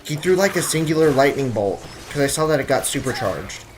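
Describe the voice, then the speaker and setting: A man's voice: mid-range voice, lively intonation, fairly quick, noisy background. Jokey young man streaming a game. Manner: jokey, slangy running asides. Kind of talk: casual live gameplay commentary. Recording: game music behind.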